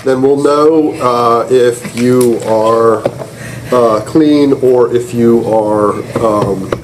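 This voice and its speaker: A man's voice: mid-range voice, extremely slow. A man speaking as a judge from the bench in a courtroom, plainspoken and blunt. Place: indoor courtroom. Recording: noisy courtroom recording.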